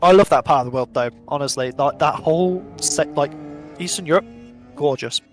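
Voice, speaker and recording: Chatty high-voiced English motorsport commentator guy. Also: brisk. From England. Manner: chatty, stumbles over words. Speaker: esports commentator. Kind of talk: live virtual drift racing commentary. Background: music.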